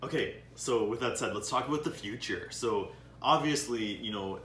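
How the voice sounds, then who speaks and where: A man's voice: mid-range voice, moderate pace, a bit bright, a little hiss or hum. A young man hosting a casual chat, supportive and jokey, backing up his guest with frequent yeahs. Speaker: host. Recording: echoey room, mic at a distance, faint ambience.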